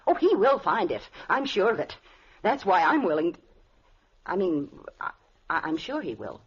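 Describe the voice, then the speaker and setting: An actress playing a scientist's dutiful, guarded wife in an old-time radio drama, proper and earnest. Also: female voice, lowish voice, sing-song, normal speed, husky. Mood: flustered, guarded. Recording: muffled old radio recording.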